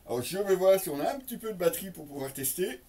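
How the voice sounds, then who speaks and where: A man with a somewhat high voice gives a casual product demonstration. He explains things off the cuff, with some hesitation, talking straight to his viewers. He sounds slightly distant from the microphone. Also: lively intonation, fast, dark tone. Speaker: product reviewer and presenter.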